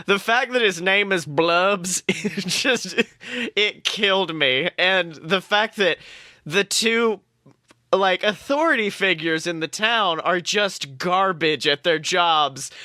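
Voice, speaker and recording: A man's voice: sits high, slow, sharp tone, no echo, studio quality. A man co-hosting a podcast, chatting casually and animatedly about a TV show, swearing freely. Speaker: podcast co-host.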